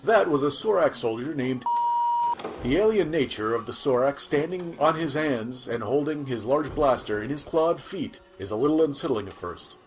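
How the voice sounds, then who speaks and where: A man's voice: medium pitch, natural voice, low-quality recording. A man playing an earnest futuristic news reporter in a scripted sci-fi audio drama.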